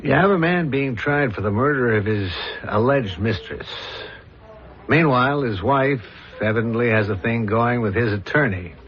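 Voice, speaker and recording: An actor playing a dogged, brooding police inspector with a deliberate, probing manner, in 1970s radio drama style. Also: male voice, mid-range voice, lightly acted. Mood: musing, suspicious. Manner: deliberate, probing, clipped questions. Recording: dull lo-fi radio drama recording.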